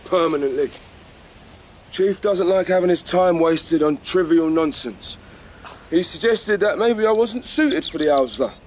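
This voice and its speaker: Tough rabbit fighter for radio drama: blunt, sardonic, higher-set male voice, faint outdoor ambience. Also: expressive, a little slow, a little mellow, a little hiss or hum. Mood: disgruntled.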